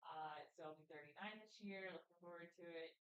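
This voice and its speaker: A woman's voice: fairly low pitch, brisk, a bit bright, echoey room. A woman in her late thirties, a guest on a panel chat show, talking in a chatty, upbeat way with plenty of fillers. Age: 30s. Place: studio.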